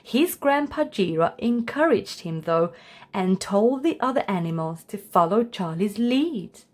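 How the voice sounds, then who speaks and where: A woman's voice: medium pitch, expressive, a little slow, darkish tone. A woman storyteller reading a children's book aloud as scripted narration.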